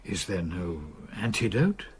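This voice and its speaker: Expressive, worried gentleman, lowish voice. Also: a little slow, a little hiss or hum. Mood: concerned. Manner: earnest, formal, solicitous. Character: concerned, loyal gentleman lawyer friend, troubled and earnest. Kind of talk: radio drama acting.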